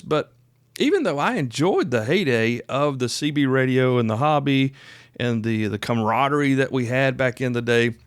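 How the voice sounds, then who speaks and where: A man's voice: mid-range voice, dry recording, clean studio sound. An American man of about fifty hosting a podcast solo, talking casually in a folksy, rambling, reassuring way. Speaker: podcast host.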